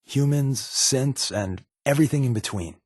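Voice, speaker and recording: An actor playing a wry, guarded undercover resistance agent in a video game, conversational and confiding, with a slightly husky voice. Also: male voice, medium pitch, normal speed, a little mellow, no room sound, studio quality, natural voice. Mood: earnest, measured. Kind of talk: naturalistic video game companion dialogue.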